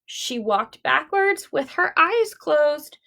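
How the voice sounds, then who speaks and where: A woman reading and talking to young children as a library storytime host, expressive and deliberate. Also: high voice, vocal fry.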